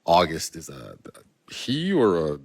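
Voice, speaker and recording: Gruff video game soldier, extremely low voice, world-weary, cynical, blunt, close dry sound. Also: male voice, huge pitch swings, a little slow, darkish tone, dry recording, heavily acted. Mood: subdued, earnest. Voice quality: gravelly. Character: gruff, world-weary male Outrider soldier, sardonic and foul-mouthed.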